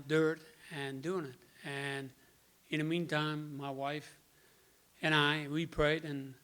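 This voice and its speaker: Man, 60s, Dutch accent, slightly high voice, plainspoken, halting testimony. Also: fairly bright tone, smooth. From Netherlands. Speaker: guest speaker. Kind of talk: personal testimony.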